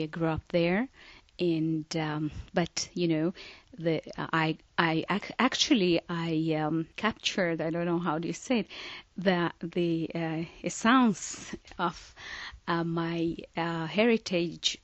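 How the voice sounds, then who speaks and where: A woman from Iran, a singer being interviewed, who speaks haltingly and often stops to search for words. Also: deep voice, steady tone, no echo, highs cut off. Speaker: singer guest.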